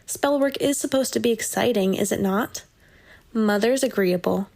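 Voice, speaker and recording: Sly teen witch girl, fairly high voice, teasing, nonchalant, morbid, old-timey audio drama. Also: moderate pace, vocal fry, a little mellow. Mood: sly, unbothered. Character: sly, unbothered teenage witch, morbidly curious twin. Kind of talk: period audio drama acting.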